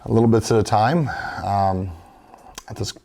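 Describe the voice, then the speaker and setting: A man giving halting interview answers as a city council member. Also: fairly low pitch, creaky, warm tone.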